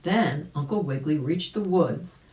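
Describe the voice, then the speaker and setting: A woman reading a children's story aloud as a volunteer, unhurried and animated, with chatty asides. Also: deep voice. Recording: roomy, echoing sound.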